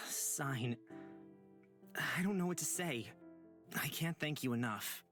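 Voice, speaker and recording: Game role: soft-hearted young wanderer, mid pitch, unhurried, halting, music beneath. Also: expressive. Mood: grateful, wistful. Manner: halting, tentative. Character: gentle, hesitant, awestruck young traveler. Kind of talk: visual novel voice acting. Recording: music under the voice.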